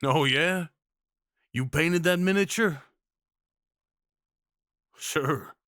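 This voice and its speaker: A man's voice: on the high side, extremely slow, fairly bright tone, dry recording, full character voice. Man playing a folksy Old West cowboy, close and dry. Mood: fond. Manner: folksy, reassuring, informal. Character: kindly rough-spoken Old West cowboy guide, protective and awkward. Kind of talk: intimate audio roleplay acting.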